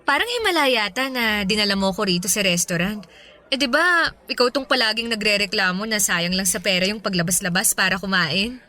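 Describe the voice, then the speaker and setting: A woman with a Filipino accent playing a sassy young spendthrift girlfriend in a radio drama, expressive and conversational. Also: mid-range voice, fairly quick. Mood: teasing, affectionate. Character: sassy, spendthrift young girlfriend, affectionate but easily irritated. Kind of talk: Tagalog radio drama acting.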